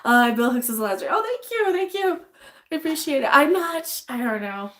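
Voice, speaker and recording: A young adult woman chats on a livestream as she cooks, her voice a touch higher than most. She talks bluntly, swears freely and rambles through restarts, and she sounds a little sniffly. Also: sing-song, moderate pace, fairly bright tone. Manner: reads chat names aloud and answers them. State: a bit sniffly. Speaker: livestream host. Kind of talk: casual livestream chat while cooking.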